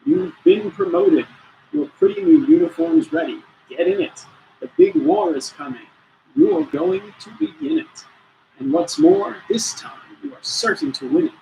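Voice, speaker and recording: A man's voice: very high voice, wildly swooping pitch, unhurried, vocal fry, dark tone, a little hiss or hum, voice pushed up, heavily acted, low-quality recording. A man voicing a boastful, jolly chief commander who gives grand, encouraging rhyming orders in a storybook reading. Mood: gleeful, rallying.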